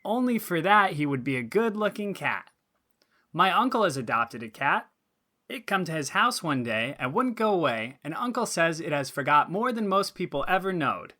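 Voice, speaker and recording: An actor playing an earnest, naive schoolboy who reads his composition aloud in a deliberate, declaiming way for an audio drama. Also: on the high side, big pitch swings, sharp tone, exaggerated voice. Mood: earnest, proud. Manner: declaiming, deliberate, with childish grammar. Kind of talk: audio drama letter reading.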